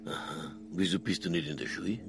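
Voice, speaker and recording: A man playing a cynical, heavyset Bavarian police detective with a dry, deadpan delivery in a radio crime drama. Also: low-pitched, brisk, gravelly. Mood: dry, playful. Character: fat, hard-drinking, cynical male police detective, gruffly deadpan. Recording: roomy sound, faint background noise.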